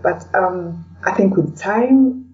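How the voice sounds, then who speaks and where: Casting: Kenyan woman, casual interviewee, very mellow tone, slightly muffled distant recording, conversational with frequent "you know". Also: medium pitch, takes it slowly. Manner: peppers talk with "you know". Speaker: podcast interview guest. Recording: distant, slightly dull sound.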